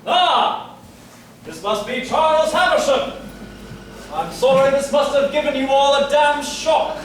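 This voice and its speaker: A man playing a brisk, courteous police inspector with formal, old-fashioned speech in a staged murder-mystery farce. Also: very high voice. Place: theatre staged reading, distant mic. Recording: echoey hall from far off, noisy.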